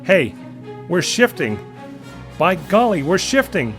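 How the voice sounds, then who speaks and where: A man's voice: high-pitched, big pitch swings, takes it slowly, fairly bright tone, pitched above the performer's own voice, heavily acted. A man voicing a brave young rescue pilot, strained and determined, in a dramatic audio story with music behind. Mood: excited relief.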